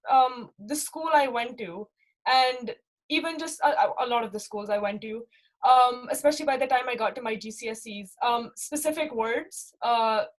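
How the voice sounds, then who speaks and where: Young woman, slight pakistani accent, kinda high voice, thinking out loud with lots of ums and "right?". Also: rough recording. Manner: reflective, with many fillers and rhetorical "right?". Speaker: interviewee. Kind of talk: casual personal account.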